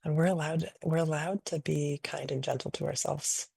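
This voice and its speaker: A woman leading a guided meditation in a gentle, unhurried, invitational way, improvising softly and leaving long pauses. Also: deep voice, steady tone, gravelly, breathy edge, dry recording, studio quality. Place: online video call session.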